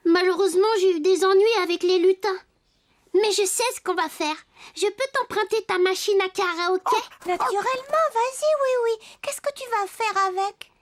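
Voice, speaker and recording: An actor voicing a cheerful, eager little toy in a French children's cartoon, expressive and friendly. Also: extremely high pitch, moderate pace. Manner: bright-eyed, expressive, friendly. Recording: music behind the voice.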